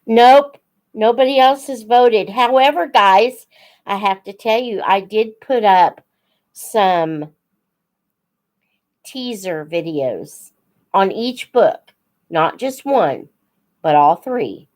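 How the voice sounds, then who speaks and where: A woman hosting a casual live chat, unhurried and thinking aloud as she talks to her viewers. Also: medium pitch, expressive, darkish tone. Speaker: host of a live book Q&A, teacher-like reader.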